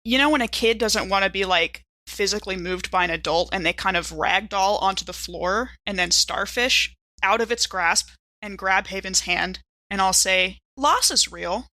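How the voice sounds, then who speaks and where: A woman playing a tabletop roleplaying game, chatting casually and playfully with expressive reactions. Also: mid-range voice, normal speed, no room sound, low-quality recording.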